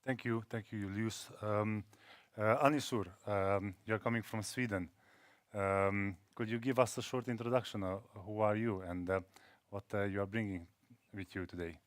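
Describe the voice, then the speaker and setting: Smooth-voiced moderator, hesitant. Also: male voice, fairly low pitch, steady tone, normal speed, dry recording. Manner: polite, hesitant with ums. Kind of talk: introducing panel speakers. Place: conference centre.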